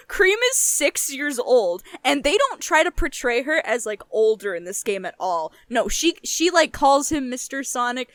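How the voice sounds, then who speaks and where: A young woman co-hosting a podcast, chatting casually in an animated, emphatic way and swearing freely. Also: high voice, no echo, clean studio sound. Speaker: podcast co-host. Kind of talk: casual chat.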